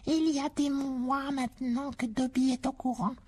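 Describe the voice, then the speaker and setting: A woman voicing a timid, tearful male house-elf who pleads in a squeaky, breathy voice and talks about himself in the third person. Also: flat delivery, normal speed, a little mellow, a little hiss or hum, natural voice. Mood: urgent. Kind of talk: audiobook character voice.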